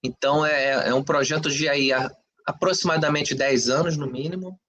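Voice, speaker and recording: Opinionated Brazilian man, slightly high voice. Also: steady tone, normal speed, a bit bright, highs cut off. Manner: conversational, frequent "entendeu?" and "né?" tags; emphatic, rhetorical questions. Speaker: podcast co-host. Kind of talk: opinionated casual talk.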